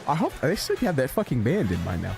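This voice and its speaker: A man's voice: medium pitch, huge pitch swings, fairly quick, creaky, a little mellow. A man teasing his friend in profane, casual banter while they play a game on stream. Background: game music.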